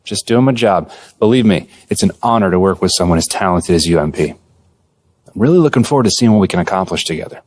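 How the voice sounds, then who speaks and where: A man playing an earnest, data-minded basketball executive in a video game, speaking in a measured corporate way. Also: low-pitched, animated, a little mellow. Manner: measured, businesslike, corporate jargon. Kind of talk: conversational video game cutscene acting.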